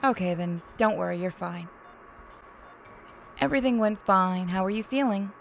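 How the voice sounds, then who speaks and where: A woman's voice: mid-range voice, moderate pace, gravelly, a little hiss or hum, lightly acted. A woman playing a breezy, evasive doctor who falsely reassures a captive patient in a horror game.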